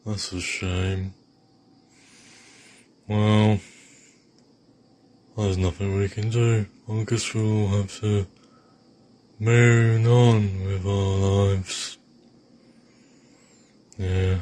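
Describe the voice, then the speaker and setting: A man's voice: fairly low pitch, little pitch movement, extremely slow, pauses often, darkish tone. A man voicing a downbeat, resigned character.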